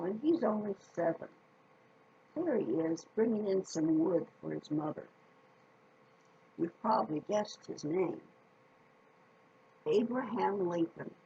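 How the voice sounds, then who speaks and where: A woman reading a children's story aloud in a folksy, deliberate manner, speaking directly to her listeners. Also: medium pitch, warm tone, breathy edge, lo-fi sound.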